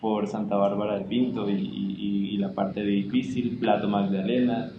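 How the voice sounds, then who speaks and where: Low-pitched man interviewing in echoey room. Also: little pitch movement, moderate pace, gravelly. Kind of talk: informal interview hosting. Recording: muffled, echoey, noisy room recording.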